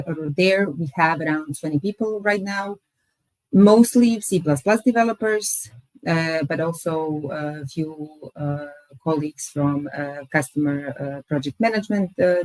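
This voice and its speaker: A woman presents her employer to a webinar audience, speaking in a low register. She hesitates often, filling her pauses with small sounds. Her voice comes through a video call, dry and close with no room sound. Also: no echo, low-quality recording. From Croatia. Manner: hesitant, many pauses and fillers. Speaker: company recruiter presenting.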